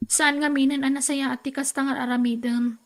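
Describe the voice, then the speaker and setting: A woman's voice: high-pitched, little pitch movement. A woman acting a hesitant young daughter and sister in an Ilocano radio drama. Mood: reproachful. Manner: hesitant, halting.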